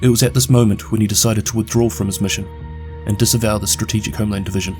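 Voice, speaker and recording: A man with a New Zealand accent narrating a scripted lore recap in a brisk, steady delivery. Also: on the low side, music in the background. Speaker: video game lore narrator.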